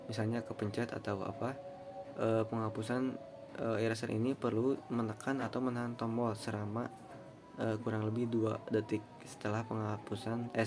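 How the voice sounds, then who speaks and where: A young man gives a student presentation in a lowish, very flat voice. He explains haltingly and slips in colloquial asides, with clear background noise on the recording. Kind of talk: informal slide presentation. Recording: clearly noisy room recording.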